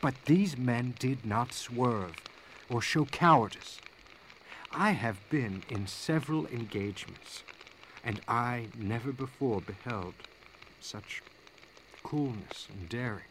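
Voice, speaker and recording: An actor playing a proud Union officer, giving a measured, emphatic dramatic reading of a battle report. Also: male voice, medium pitch, fairly bright tone, low-quality recording. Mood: admiring. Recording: clear background noise.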